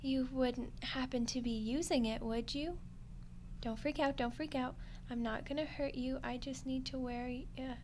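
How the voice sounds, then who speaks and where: A woman's voice: high-pitched, fairly even intonation, normal speed, darkish tone, close to an everyday voice. A woman voicing a friendly, lonely undead skeleton girl in an ASMR roleplay, chatting casually and awkwardly to the listener. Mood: coaxing, awkward. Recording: clear background noise and ambience.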